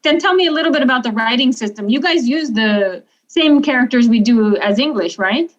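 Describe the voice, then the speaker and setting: Woman host asking lots of questions, kinda high, muffled audio. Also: expressive, brisk. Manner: curious, asks short questions. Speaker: podcast host. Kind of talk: interviewing.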